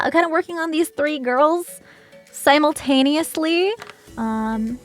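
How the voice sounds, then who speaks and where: High-voiced, chatty woman streamer. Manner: chatty and giggly, lots of fillers like "like" and "um". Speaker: streamer, cosplayer. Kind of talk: casual live-stream chat while crafting.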